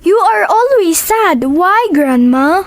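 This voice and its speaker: A woman's voice: expressive, slow pace, no room sound, heavily acted. A woman voicing a small, curious granddaughter with a childlike voice in an audio drama, asking things simply and directly. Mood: concerned, curious. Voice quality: high, childlike. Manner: simple, direct questioning in English.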